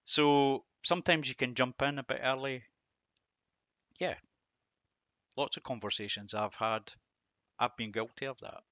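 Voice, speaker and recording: A man's voice: mid-range voice, fairly even intonation, a little slow, long pauses, fairly bright tone, dry recording, dull audio. A middle-aged man giving a reflective devotional talk on scripture, asking rhetorical questions and repeating words for emphasis. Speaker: Bible teacher and host.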